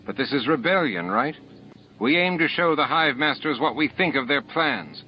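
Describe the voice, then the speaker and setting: A man's voice: on the high side, lively intonation, normal speed, a little hiss or hum, pitched above the performer's own voice, natural voice. Man voicing a sneering, scheming alien rebel. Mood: goading. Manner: goading, sneering. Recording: dull lo-fi audiobook tape.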